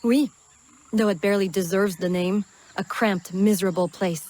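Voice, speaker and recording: A woman's voice: mid-range voice, steady tone, moderate pace, a little mellow, natural voice. An actress playing a brash, hot-tempered bayou smuggler woman in a video game, blunt and scornful. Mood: knowing, blunt.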